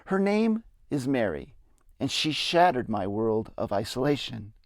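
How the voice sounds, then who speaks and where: A man's voice: medium pitch, big pitch swings, unhurried, no echo, clean studio sound. A man giving a reflective, earnest dramatic reading of his own memoir. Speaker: memoirist narrator. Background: music.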